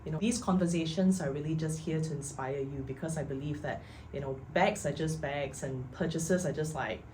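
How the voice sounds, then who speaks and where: A woman's voice: low voice, moderate pace, slight background noise, rough recording. A young woman with a Singaporean English accent hosting a casual chat, encouraging and chatty. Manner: warm, encouraging, chatty with fillers. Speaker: host.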